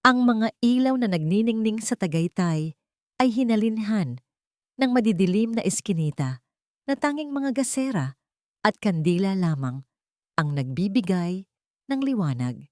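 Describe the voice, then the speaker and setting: A woman's voice: medium pitch, big pitch swings, a little slow, long pauses, a little mellow, no room sound, studio quality. A woman narrating a scripted dramatic story as an audiobook, with a solemn, emotive delivery.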